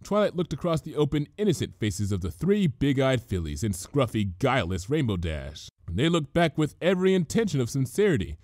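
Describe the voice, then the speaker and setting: A man reading a comic story aloud as narrator, in an expressive, measured storytelling manner. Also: medium pitch, dry recording, clean studio sound. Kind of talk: dramatic scripted fan fiction reading.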